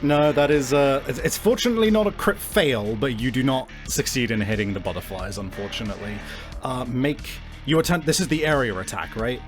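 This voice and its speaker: A man acting as game master, improvising narration and rulings for a tabletop game in deliberate, hesitant phrases. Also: medium pitch, animated. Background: faint music.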